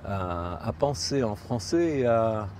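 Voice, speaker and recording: Man, first language spanish, reflective interview, halting and rephrasing, faint street noise outdoors. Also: lowish voice, animated, darkish tone. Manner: thoughtful, halting, repeats and rephrases.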